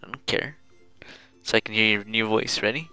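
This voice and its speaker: A woman's voice: rumbling low, moderate pace, music in the background. A woman streamer chatting casually and playfully bossing her friend around during a game stream. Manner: playful, bossy, coaching. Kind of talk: casual chat while gaming.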